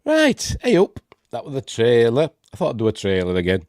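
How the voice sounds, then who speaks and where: A man's voice: medium pitch, huge pitch swings, fairly quick, darkish tone, no echo, studio quality. A man with a Yorkshire accent hosting a rambling live-stream film review, congested and snuffly from a cold. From Yorkshire, England. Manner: rambling, hesitant, many fillers. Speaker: host.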